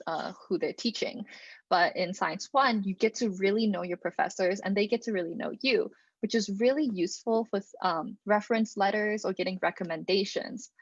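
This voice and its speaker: A woman's voice: medium pitch, dry recording, highs cut off. A young woman from Alberta, Canada, giving a student presentation on a video call in a casual way, with lots of "um" and "like". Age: young adult. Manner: casual, many fillers and "like". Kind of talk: online presentation talk. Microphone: computer mic on a video call.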